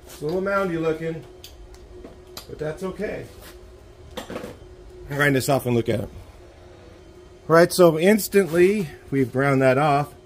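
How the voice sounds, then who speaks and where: A man's voice: a little high, unhurried, long pauses, noisy background. A middle-aged man giving a casual hands-on welding lesson in an outdoor driveway workshop, talking plainly as he works, filmed first-person on the camera's own mic. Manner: relaxed, plainspoken, talks while working. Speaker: garage welding instructor.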